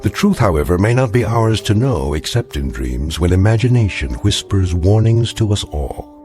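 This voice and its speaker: A grave actor playing a storyteller with a deep male voice introduces strange tales in a radio drama. He is measured, portentous and slightly wry, with music playing underneath. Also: expressive, a little mellow, close to an everyday voice. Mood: grave, ominous. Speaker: narrator. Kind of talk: scripted radio drama narration.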